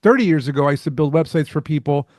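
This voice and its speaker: A man, a startup founder, talking as a podcast guest in an energetic, fluent way with rhetorical questions. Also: on the high side, fast talker, dry recording, clean studio sound. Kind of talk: casual interview chat.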